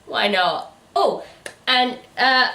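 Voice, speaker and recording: A woman co-hosting a book review channel, chatting casually in an animated, incredulous way. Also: a little high, drawn-out words, creaky, far from the mic. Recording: echoey room, away from the mic.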